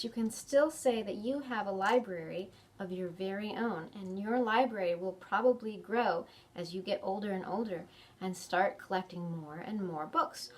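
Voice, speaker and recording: A woman hosting a children's storytime, reading picture books expressively and chatting to young children with questions. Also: fairly high pitch. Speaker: children's librarian storytime host. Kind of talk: animated picture-book reading and chat for preschoolers.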